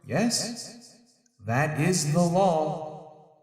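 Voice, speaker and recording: A man playing the grave ghost of a murder victim in a 1940s-style radio drama, solemn, ominous and measured, with heavy reverb. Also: a little high, very animated, a little mellow, full character voice. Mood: grave, warning. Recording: echoing cavernous reverb, faint music.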